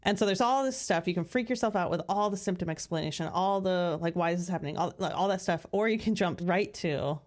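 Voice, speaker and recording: Chatty low-pitched woman co-host. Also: fast talker, few pauses, a little mellow. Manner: thoughtful, chatty, many "like" fillers. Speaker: podcast co-host. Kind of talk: casual conversation.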